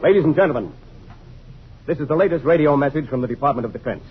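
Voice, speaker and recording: Grave general, dull vintage recording. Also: male voice, fairly high pitch, expressive, husky. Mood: solemn. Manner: formal, commanding, measured. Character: authoritative male army general briefing officials gravely. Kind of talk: old-time radio drama acting. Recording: dull vintage radio recording, faint noise, crowd.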